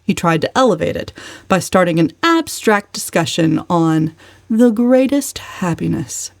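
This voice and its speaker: Woman reading an audiobook, lowish voice, measured but expressive, super dry close recording. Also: no echo. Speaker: audiobook narrator. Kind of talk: dramatic scripted narration.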